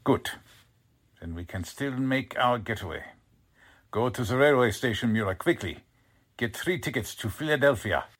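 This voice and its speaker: An actor playing a cold, contemptuous Nazi spy commander in 1940s radio drama style, husky, deliberate and commanding. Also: male voice, medium pitch, animated.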